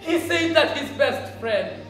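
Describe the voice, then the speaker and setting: A young performer on stage playing a distressed, pleading teenage schoolgirl with halting, emphatic delivery. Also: high voice, expressive, a bit bright, heavily acted. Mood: pleading, accusing. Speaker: stage actor in a school play. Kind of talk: projected stage drama acting. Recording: echoey hall, distant mic, faint music.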